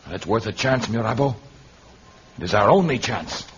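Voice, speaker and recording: An actor playing a noble, earnest and commanding jungle hero in a vintage radio serial, with formal, deliberate diction. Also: male voice, lowish voice, expressive, natural voice. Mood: urgent. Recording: dull noisy vintage radio recording.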